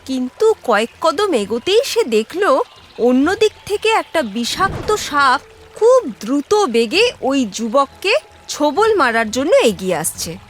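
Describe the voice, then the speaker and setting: A woman narrating a scripted story for children in an expressive way. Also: high voice, a little slow, a little hiss or hum.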